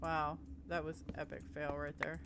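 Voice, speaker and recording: Woman, congested and sniffly, gravelly fry, casual game commentary, chatty, noisy recording. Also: sits low, little pitch movement, normal speed. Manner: chatty, giggly, talks to viewers. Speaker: gaming video creator. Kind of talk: casual let's-play commentary.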